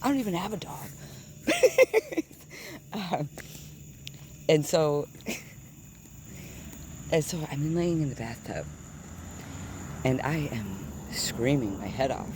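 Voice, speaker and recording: A deep-voiced woman in her thirties telling a rambling, digressive story while walking outdoors, swearing casually and sometimes dropping to a whisper. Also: noisy background. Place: outdoors on grass at night with insects.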